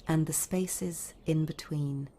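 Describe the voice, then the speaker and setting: A woman guiding a scripted sleep meditation in a calm, soothing, measured manner with long pauses. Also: low voice. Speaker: meditation guide.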